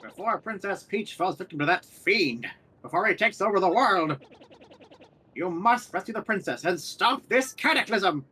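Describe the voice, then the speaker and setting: A streamer reading a solemn guide's lines from a game slowly and gravely. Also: mid-range voice, lively intonation, sharp tone.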